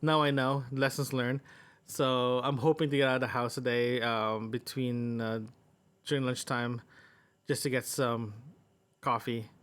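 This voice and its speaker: A man hosting a casual audio diary, thinking aloud in a halting, rambling way. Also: mid-range voice, level tone, fairly bright tone, clean tone. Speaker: podcast host.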